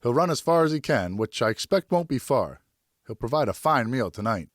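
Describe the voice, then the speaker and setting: Audiobook role: man playing fatherly veteran hunter, reassuring guide, raised pitch, dry recording. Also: very animated, brisk, no room sound, natural voice. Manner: reassuring, instructive. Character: steady, encouraging father, seasoned hunter. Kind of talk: audiobook character acting.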